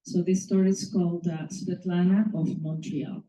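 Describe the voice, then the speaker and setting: A woman's voice: lowish voice, normal speed. A woman from Mexico whose first language is Spanish, reading one of her short stories to an audience after a hesitant introduction. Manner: hesitant with many filler words, then steady reading. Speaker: author reading her story. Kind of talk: reading a short story aloud. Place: bookstore or small venue reading. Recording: distant mic, echoey room, dull sound.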